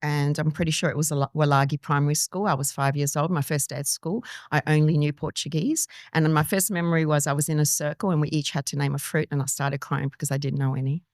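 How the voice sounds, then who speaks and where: A woman in her early fifties, born in Timor-Leste, speaks in a low, grounded voice. She recounts her family's past earnestly and personally, with frequent ums and restarts. The recording is close and dry. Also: fairly even intonation, fast talker, few pauses, a little mellow, dry recording. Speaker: podcast guest. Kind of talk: conversational interview storytelling.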